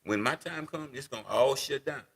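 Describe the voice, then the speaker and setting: A man's voice: medium pitch, brisk, creaky, a bit bright, breathy edge. A man in his sixties, a guest in a casual interview, talking unhurriedly and repeating words as he thinks. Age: 60s.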